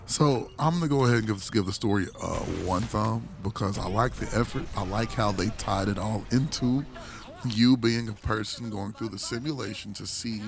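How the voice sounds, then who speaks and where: A man with a hoarse voice, recovering from illness, giving a casual, rambling game review full of restarts and laid-back slang. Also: on the low side, normal speed.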